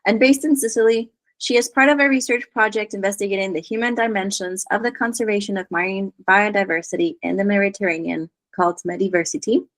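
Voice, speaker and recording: A woman's voice: mid-range voice, fairly even intonation, fast talker, hardly any pauses, a bit bright, no room sound. Woman host, very dry sound. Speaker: host introducing the next artist. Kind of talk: reading a speaker introduction.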